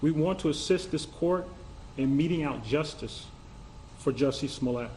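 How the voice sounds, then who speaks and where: A man's voice: fairly high pitch, normal speed, darkish tone. A man reading a statement aloud formally as an attorney in a courtroom. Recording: noisy courtroom audio feed.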